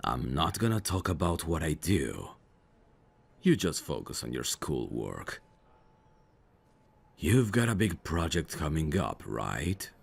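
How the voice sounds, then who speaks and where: Very deep, gravelly, teasing mafia boss, acted by a man. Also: very animated, exaggerated voice. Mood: calm, fond. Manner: teasing, unhurried, suave. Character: smooth, teasing mafia boss, flirtatious and faintly menacing. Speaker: voice actor in an audio roleplay. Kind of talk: ASMR roleplay drama.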